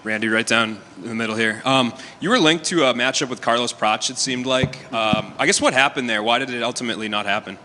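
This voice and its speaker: A man's voice: medium pitch, brisk, few pauses, sharp tone, in a large hall. A man asking a fighter direct, playful questions at a media scrum. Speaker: MMA reporter. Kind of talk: press interview questions.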